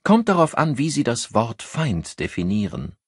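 A guarded circus artiste, voiced by a male audiobook reader, answers questions with cool, evasive mockery. The tone is husky and lifted, calm under pressure in a crime novel. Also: mid-range voice, moderate pace, no echo, clean studio sound, pitched above the performer's own voice.

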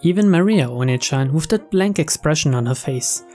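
A narrator reads visual novel text at a slow pace. The voice is medium in pitch, with music clearly underneath. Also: expressive, darkish tone.

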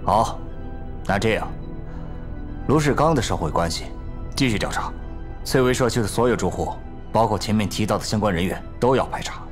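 A man playing a middle-aged police bureau chief, measured, deliberate and authoritative, in an audio drama. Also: on the low side, lively intonation, soft background music, natural voice. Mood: measured, commanding. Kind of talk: Chinese audio drama acting.

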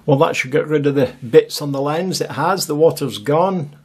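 A man talks over his own coastal footage in a relaxed, chatty voice-over, musing aloud and dropping wry little asides. His voice sits a touch high for a man. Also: normal speed, slightly rough. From Scotland. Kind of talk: casual voice-over commentary.

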